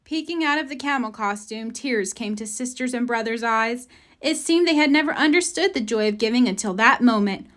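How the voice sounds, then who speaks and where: A woman's voice: fairly high pitch, a bit bright. A woman reading a children's picture book aloud as a storybook narrator. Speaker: storybook reader.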